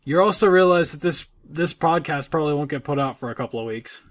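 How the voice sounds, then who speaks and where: A man with a somewhat high voice co-hosts a casual gaming podcast. He talks in a loose, informal way and swears freely. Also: fast. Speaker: podcast co-host.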